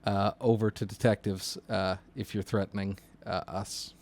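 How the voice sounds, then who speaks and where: A man in casual podcast conversation as a co-host and dispatcher, informal and halting as he thinks aloud. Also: lowish voice, little pitch movement, darkish tone.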